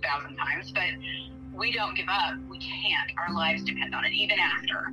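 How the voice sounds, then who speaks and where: A woman guest on a podcast, a blogger, speaking earnestly and laughing softly between thoughts. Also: on the low side, moderate pace, music in the background, low-quality recording. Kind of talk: casual interview chat.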